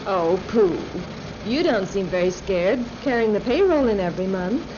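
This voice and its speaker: A woman's voice: mid-range voice, lively intonation, normal speed, lightly acted. An actress playing a plucky, urgent young cowgirl in a 1930s radio western. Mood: breezy. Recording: noisy, muffled 1930s recording.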